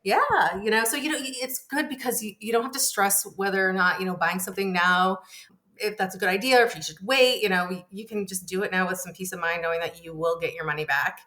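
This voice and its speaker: Woman, average pitch, columnist guest, conversational explainer. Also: fast, pushed and bright. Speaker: shopping columnist, interview guest.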